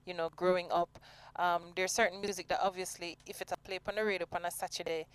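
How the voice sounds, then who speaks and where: A woman's voice: lowish voice, little pitch movement, fast talker, clear, resonant tone, poor audio. A woman with a Jamaican accent, talking earnestly and expansively as a singer being interviewed. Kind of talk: conversational radio interview.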